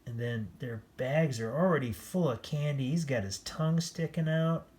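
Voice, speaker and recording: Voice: medium pitch, normal speed, a little mellow. A read-aloud storyteller reading a children's picture book expressively, with playful, chatty asides.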